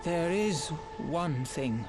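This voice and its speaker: An actor playing a proud, composed scholarly mage in a video game, with formal, self-assured delivery. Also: male voice, a little high, lively intonation, extremely slow, over music. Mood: grave resolve. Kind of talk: dramatic video game cutscene acting.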